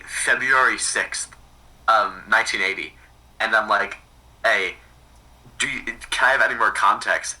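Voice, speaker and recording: A man chatting casually and telling stories in a halting way, often repeating words and restarting sentences. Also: lowish voice, bright, forceful tone, slightly rough, recorded from a distance, low-quality recording. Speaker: podcast speaker recounting research projects. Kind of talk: casual conversational storytelling.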